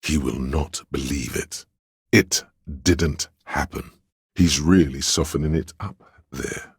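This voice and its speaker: A very deep, gravelly theatrical courtier. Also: male voice, takes it slowly, dry recording, clean studio sound, full character voice. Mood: confiding. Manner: theatrical, courtly, archly dramatic. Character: flamboyant, sly male inner voice of drama, servile courtier. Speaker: game voice actor. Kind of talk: theatrical video game character lines.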